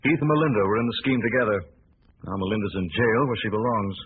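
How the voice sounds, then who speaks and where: An actor playing a calm, assured gentleman sleuth, measured and polished, in 1940s radio drama style. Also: fairly low pitch, lightly acted. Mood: calm, explanatory. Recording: noisy muffled vintage radio broadcast.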